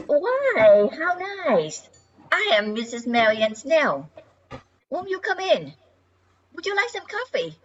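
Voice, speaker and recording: An older woman playing a polite, confident thirties housewife new to the neighborhood in an amateur radio comedy, husky and genteel, on slightly dull audio. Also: high voice, huge pitch swings, slow, a little hiss or hum, heavily acted. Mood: polite, pleasant.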